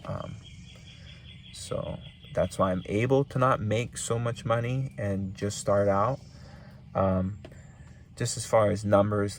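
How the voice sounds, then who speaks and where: Young Hawaiian-accented man, gravelly low voice, easygoing rambling advice, faint hiss behind. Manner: rambling, repeats himself, many ums. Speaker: small business owner giving advice. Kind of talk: casual advice vlog.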